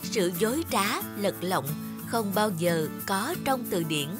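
A woman voicing the narration of an animated fairy tale in a storytelling style. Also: medium pitch, takes it slowly. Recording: music bed under the voice.

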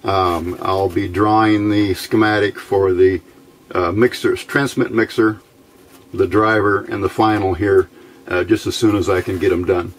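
Male, middle-aged, lowish voice. Hobbyist builder talking through hands-on work informally, no rush. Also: fairly even intonation, slight background noise. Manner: informal, unhurried, explaining step by step. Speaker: amateur radio builder presenting a project. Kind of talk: casual hands-on electronics demonstration. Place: indoor workbench.

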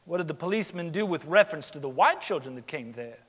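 In a reverberant theatre, a methodical 1890s lawyer played by a young man examines a witness. His fairly high voice is formal and deliberate, in the manner of a dramatic reading. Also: lively intonation, fairly bright tone, slightly rough. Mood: formal, probing. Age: young adult. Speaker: student actor in a staged reading. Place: large theatre stage, indoors. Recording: echoey theatre hall, long reverb.

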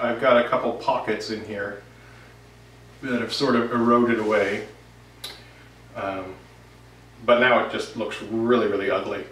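A man's voice: medium pitch, fairly bright tone. Man chatting in a roomy workshop. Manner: rambling, conversational. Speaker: woodworking vlog host. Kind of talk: casual update chat to camera. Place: home workshop, indoors. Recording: roomy, distant, noisy workshop recording.

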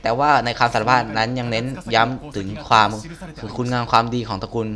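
A man voicing an earnest, defiant young clan son from a game, in a formal, halting reading. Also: medium pitch, little pitch movement, a crowd in the background, lightly acted. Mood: defiant, accusing. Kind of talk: reading game dialogue aloud.

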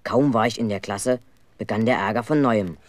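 A man plays an aggrieved schoolboy narrating his own tale in an old German children's radio drama. The voice is fairly low and earnest, recorded very dry. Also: moderate pace, dry recording, close to an everyday voice. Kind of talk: first-person narration in 1970s German children's radio play.

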